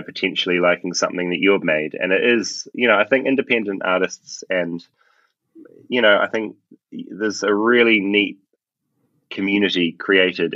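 Deep-voiced New Zealand man, lo-fi. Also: sharp tone, no room sound. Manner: enthusiastic, self-deprecating. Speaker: guest, film composer. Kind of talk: casual interview chat. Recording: dull, dry lo-fi audio.

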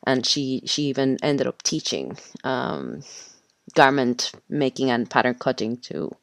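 Low-voiced woman. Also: sing-song, unhurried, gravelly, no echo. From Mexico. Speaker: podcast guest, natural textile dyer. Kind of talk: casual interview talk.

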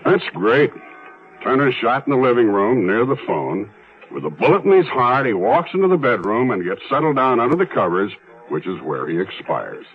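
An actor playing a methodical, world-weary police detective in a 1970s radio drama, with an American accent and a dry, clipped manner. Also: male voice, medium pitch, very animated, moderate pace. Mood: matter-of-fact. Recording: muffled vintage radio recording with music.

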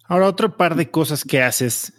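A man's voice: on the high side, moderate pace, fairly bright tone. A man hosting an interview, asking curious, inviting questions in a casual way.

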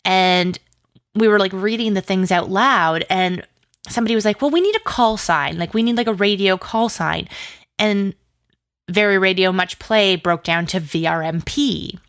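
A woman's voice: medium pitch, normal speed, a bit bright, no echo, studio quality. A woman talking casually as an interview guest, in a chatty, informal and enthusiastic way. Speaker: interview guest, audio drama producer.

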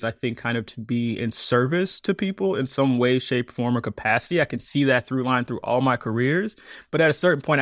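A man in his thirties, a podcast guest, telling his story in a conversational interview with quick laughs. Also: mid-range voice, dry recording, studio quality.

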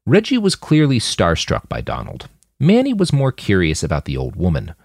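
A man's voice: low-pitched, wildly swooping pitch, normal speed, vocal fry, a little mellow, dry recording, studio quality. A man reading scripted fiction as an audiobook narrator for a podcast.

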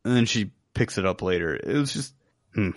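Male podcast co-host, lowish voice, very dry close recording, casual reviewer who retells with quoted reactions. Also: lively intonation, fairly quick, dry recording. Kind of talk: casual film review chat.

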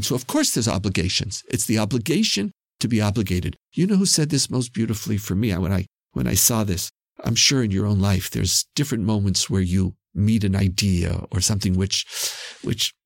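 This is a man with a Canadian accent and a husky, creaky voice that sits on the low side. He explains reflectively in conversation, swinging widely in pitch as he goes. He drives his points home emphatically, often repeating a phrase. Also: dry recording.

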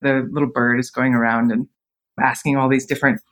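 A woman's voice: rumbling low, level tone, fairly quick, low-quality recording. A woman in her thirties, a podcast guest telling personal stories casually, with frequent fillers and laughter. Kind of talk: casual storytelling interview.

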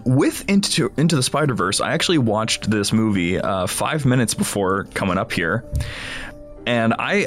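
A man hosting a podcast, chatting informally and with amusement through a casual film recap. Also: lowish voice. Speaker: podcast host.

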